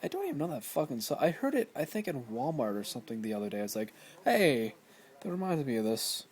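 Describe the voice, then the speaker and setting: A man's voice: mid-range voice, very animated, fast talker, a little mellow. A man in his twenties, tired, rambling and swearing constantly in a casual vlog. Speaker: vlogger.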